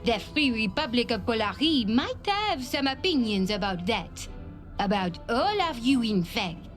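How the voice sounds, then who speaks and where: A stern leader for a game cutscene. She has a French accent and a fairly high, unhurried, authoritative voice over faint music. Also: sing-song. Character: stern, composed female republican leader. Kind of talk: scripted video game cutscene acting.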